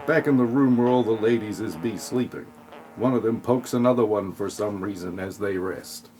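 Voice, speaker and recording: A man's voice: fairly low pitch, moderate pace, mellow, slight background noise, low-quality recording. A man narrating a scripted comic audio play in a mock-dramatic, tongue-in-cheek way.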